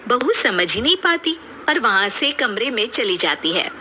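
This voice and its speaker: A woman's voice: a little high, big pitch swings, unhurried, pushed and bright, slight background noise. A woman narrating a scripted moral story.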